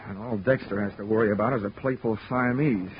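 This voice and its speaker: A man's voice: lowish voice, fairly even intonation, quick pace, slight background noise, natural voice. An actor playing a hard-boiled insurance investigator in a 1940s radio drama, narrating his case with dry wisecracks. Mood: wry. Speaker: narrator and lead. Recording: lo-fi 1940s radio broadcast with music.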